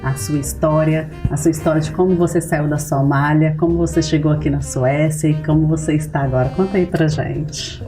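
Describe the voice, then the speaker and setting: Low, mellow woman host, eager and prompting, faint guitar behind. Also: steady tone, fast, hardly any pauses. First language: Portuguese. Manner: enthusiastic, prompting. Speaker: interviewer, host. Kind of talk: TV-style interview hosting.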